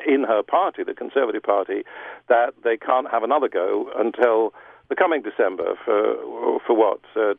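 A middle-aged Englishman, explaining politics in a radio interview with measured pauses and restarts. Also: mid-range voice, vocal fry, no room sound. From England. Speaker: guest broadcaster. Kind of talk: radio interview over the phone. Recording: tinny phone line.

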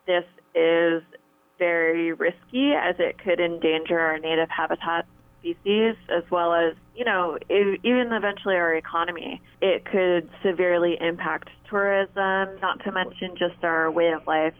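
A female biologist speaks carefully and patiently, pausing now and then as she explains. Her voice comes through a tinny phone line. The sound is dry, with no room around it. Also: on the low side, clean tone, no echo. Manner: explanatory, careful, slightly hesitant. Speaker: state biologist. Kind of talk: interview answers.

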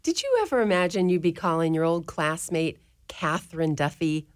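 A woman's voice: on the low side, big pitch swings. A woman playing a firm old mother superior. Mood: wry. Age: older. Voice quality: brisk, firm and preachy, with ready laughs. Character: stern, outspoken elderly convent mother superior. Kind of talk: community radio drama acting.

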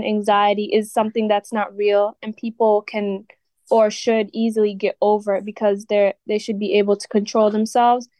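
A teenage girl, a high school student born in Ethiopia, answering radio interview questions earnestly with many fillers like "like" and "you know". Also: a little mellow, no room sound. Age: teens.

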